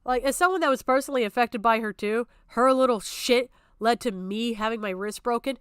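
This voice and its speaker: A woman's voice: fairly high pitch, a little fast, clear, resonant tone, dry recording, clean studio sound. A woman streamer giving heated off-the-cuff commentary, blunt and emphatic, swearing freely and repeating herself to make her point. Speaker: streamer reacting to a recorded stream.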